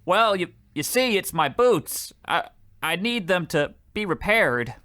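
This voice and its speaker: A man plays a hapless pauper from an old-time radio comedy. His voice is high and bright, with a sharp edge. He stammers along in an earnest, flustered, meekly hopeful way. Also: very animated, a little slow, exaggerated voice. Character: poor, meek, cheerful, hapless man with worn-out boots.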